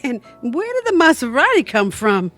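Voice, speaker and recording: Casting: woman as wisecracking, forgiving pal, higher pitch, very lively intonation. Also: normal speed, soft background music. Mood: droll. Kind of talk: audio theatre comedy-drama acting.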